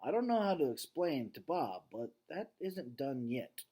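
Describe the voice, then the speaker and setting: A man's voice: mid-range voice, big pitch swings, a little slow, warm tone, breathy edge. A man speaking as a teacher reading aloud to students, conversational and casual, often checking in with his listeners as he comments.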